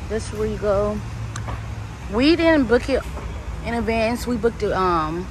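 A young woman vlogging on a trip, talking straight to her viewers in a slangy, chatty way, her voice still a bit hoarse. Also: medium pitch, lively intonation, a little slow, noisy background. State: recovering hoarse voice. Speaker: travel vlogger. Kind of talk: casual vlog talk to camera.

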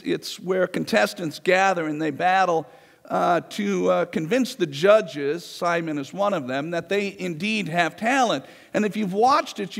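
A man in his forties preaching a sermon in a conversational way, his voice going hoarse as he paces the stage of a large church. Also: sits high. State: losing his voice. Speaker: pastor. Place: large church sanctuary, indoors. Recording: long reverb of a church hall.

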